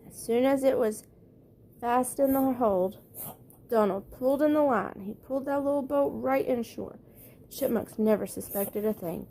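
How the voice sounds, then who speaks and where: A woman's voice: on the high side, moderate pace, dark tone, a little hiss or hum. A woman reading a children's storybook aloud at bedtime, as the narrator. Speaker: storybook reader.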